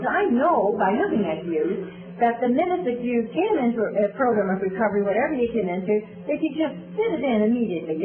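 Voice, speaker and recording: Northern Irish woman, wry storyteller, lo-fi cassette, audience laughing in a roomy hall. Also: on the high side. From Northern Ireland. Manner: wry, dry humor, storytelling. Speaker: recovery meeting speaker. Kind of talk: personal story told to an audience. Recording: tinny cassette tape in echoey hall.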